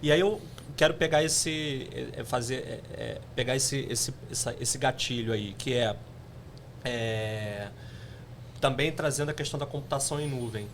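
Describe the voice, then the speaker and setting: Podcast host, mid-pitch voice, stumbles a bit setting up his question. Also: normal speed, slight background noise. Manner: hesitant, searching for words while framing questions.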